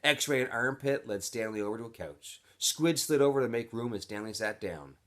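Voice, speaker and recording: A man's voice: medium pitch, normal speed. A man reading a children's novel aloud expressively, like a teacher reading to students. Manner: expressive, switches into character voices.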